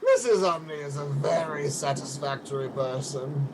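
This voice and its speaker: A man's voice: on the high side, moderate pace, heavy background noise, close to an everyday voice. A man playing a proud, mournful, centuries-old aristocratic ghost in a radio play, with a pompous, fussy, theatrical delivery. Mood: fussy, haughty.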